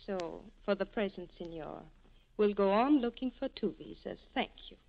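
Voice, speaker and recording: An actress playing a devoted, anxious wife, earnest and pleading, in 1940s radio acting style. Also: female voice, mid-range voice, lively intonation, normal speed, creaky. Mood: insistent. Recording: dull 1940s radio broadcast recording.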